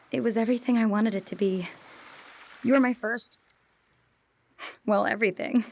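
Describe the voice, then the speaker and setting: A woman's voice: medium pitch, darkish tone. A young woman performing a radio drama monologue, hesitant and wistful, sometimes dropping to a whisper. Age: young adult.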